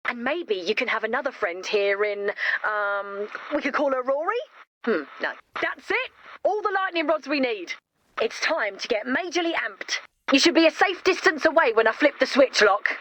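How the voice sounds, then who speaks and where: A woman's voice: sits high, lively intonation, normal speed, fairly bright tone. A woman playing an eager, kindhearted young inventor in a video game, bubbly and theatrical. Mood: chatty, coaxing, then giddy excitement. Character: eager, kindhearted young female inventor, excitable and well-meaning. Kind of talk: animated video game character dialogue.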